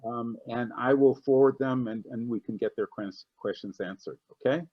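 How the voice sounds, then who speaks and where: A man's voice: mid-range voice, moderate pace, dry recording. A man closing out a video call as host, thanking speakers and rambling through the sponsor message with plenty of ums and false starts. His voice is dry and close, with the dull, lo-fi sound of a call. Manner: rambling, many ums and restarts. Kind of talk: casual closing remarks and thanks.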